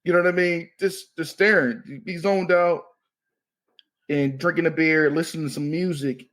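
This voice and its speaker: A man's voice: a little high, fairly even intonation, normal speed, fairly bright tone. A man in his thirties from Maryland, a comedian guest talking casually and loosely, often saying "you know what I mean.". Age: 30s. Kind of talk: casual podcast chat.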